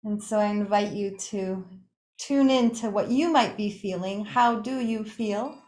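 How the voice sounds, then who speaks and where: A woman teaching yoga nidra, leading a guided meditation in an unhurried, gently inviting way, with pauses between short phrases. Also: mid-range voice. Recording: echoey, roomy sound.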